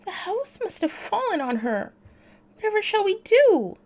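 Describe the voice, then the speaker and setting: A woman's voice: very high-pitched, very animated, normal speed, a little mellow, voice pushed up. A woman voicing an innocent, bewildered little girl who speaks politely and hesitantly in a storybook reading. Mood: dismayed. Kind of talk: storybook character voice.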